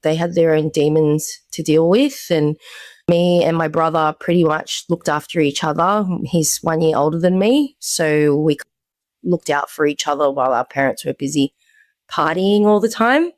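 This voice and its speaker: A woman's voice: low-pitched, normal speed, no echo. A young woman as a guest, candidly telling her personal story in a conversational way. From Australia. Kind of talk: personal testimony.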